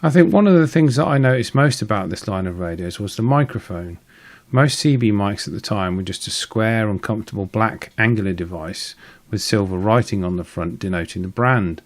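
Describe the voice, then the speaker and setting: A British man with a fairly low voice narrates as the host of a hobby repair channel. He explains each point in a matter-of-fact way, with the informal feel of someone talking through hands-on work. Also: animated, a little fast.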